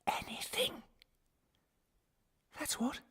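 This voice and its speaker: A man's voice: sharp tone, whispered. A man playing a bitter, anguished prisoner held without trial, rambling and questioning in a radio drama monologue. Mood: seething, defiant. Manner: halting, repetitive, questioning, swinging into angry outbursts.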